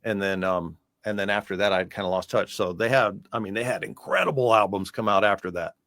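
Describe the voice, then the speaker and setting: A man's voice: lowish voice, fairly even intonation, brisk, fairly bright tone, no echo, studio quality. An American man chatting casually in a music interview as the guest, talking in a loose, rambling way.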